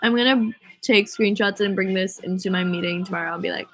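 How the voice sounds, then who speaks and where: A woman's voice: mid-range voice, a little fast, fairly bright tone. A young American woman with a stuffy cold, chatting casually with fans, rambling through stories full of "like" and "um". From United States. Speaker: singer answering fan comments. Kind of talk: casual chat on a livestream.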